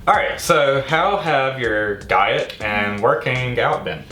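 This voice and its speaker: A man's voice: medium pitch, lively intonation, takes it slowly, pushed and bright. Laid-back joking young man, echoey room. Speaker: YouTuber host. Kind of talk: casual chat. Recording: echoey room with faint music.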